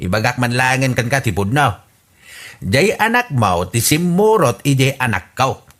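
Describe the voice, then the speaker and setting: A man's voice: big pitch swings, moderate pace, fairly bright tone. A man with an Ilocano accent acting in a radio comedy drama, exasperated and sighing. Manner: exasperated and sighing, pitch leaping up. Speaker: voice actor in an audio comedy drama.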